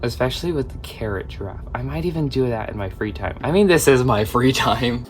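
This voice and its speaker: A young man in his late teens walks through a crochet project in a casual tutorial chat. He rambles and wanders off topic, and his voice sits at an ordinary pitch. Music plays clearly underneath him. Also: lively intonation, fairly quick. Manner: chatty, rambling, drifts off topic. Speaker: crochet vlogger and small shop owner. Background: background music.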